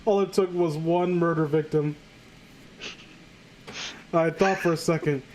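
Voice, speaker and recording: A man's voice: fairly high pitch, fairly even intonation, unhurried, darkish tone, slight background noise, rough recording. A man hosting a comedic reading show, chatting casually with sarcastic, crude banter. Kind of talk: casual joking group commentary and read-aloud.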